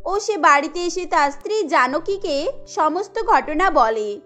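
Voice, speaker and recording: High-pitched woman narrator, steady and even, cartoon story. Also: a little slow, soft background music.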